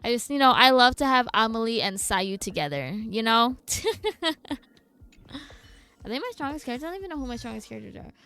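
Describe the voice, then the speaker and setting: A woman's voice: on the high side, animated, normal speed, sharp tone, smooth, soft background music. A woman doing casual, slangy game stream commentary, reacting and thinking aloud.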